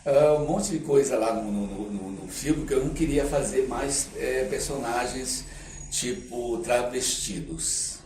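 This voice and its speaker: An older Brazilian man with a mid-range voice speaks casually, as if reminiscing on a podcast. He is halting and reflective in his storytelling. The sound is roomy, with the microphone some distance away. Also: mid-range voice, a little mellow, a little hiss or hum. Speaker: interview guest, actor and screenwriter.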